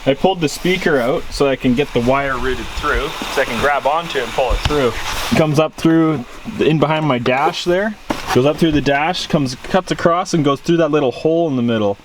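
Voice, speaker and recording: A man's voice: fairly high pitch, normal speed, a crowd in the background. A man talking informally in a casual DIY vlog, thinking aloud as he works inside a vehicle. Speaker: vlogger. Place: vehicle cabin.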